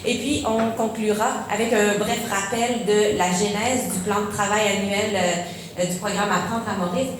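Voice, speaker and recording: A woman's voice: mid-range voice, fairly even intonation, moderate pace. A woman from Quebec with a Quebec French accent, opening a conference talk hesitantly with many fillers. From Quebec, Canada. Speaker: professor giving a conference talk. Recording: echoey hall with faint noise.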